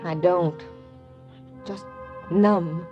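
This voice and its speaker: Numb, grieving woman, very slow delivery, vintage radio with music underneath. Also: lowish voice, fairly even intonation, lo-fi sound. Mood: numb, sad. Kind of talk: 1940s radio drama acting.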